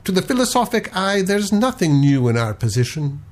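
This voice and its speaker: A man voicing a calm, philosophical sea captain who reasons in a gentle, lofty manner. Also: sits high, normal speed, voice pushed up. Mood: lecturing.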